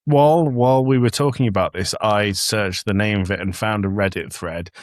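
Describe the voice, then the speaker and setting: A man's voice: lowish voice, normal speed, no echo. A man co-hosting a podcast, talking casually as he reviews things. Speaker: podcast co-host.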